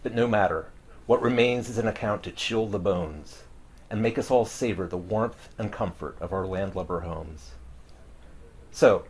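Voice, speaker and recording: A man's voice: fairly low pitch, normal speed, slight background noise. A man narrating a scripted introduction to a seafaring story in a theatrical, grandiose old-time storyteller manner. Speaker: narrator introducing a table read.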